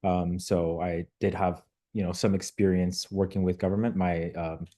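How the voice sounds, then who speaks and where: A young man from Mexico, a local elected official, answering interview questions in a measured, reflective way with frequent filler words. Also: deep voice, level tone, mellow. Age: young adult.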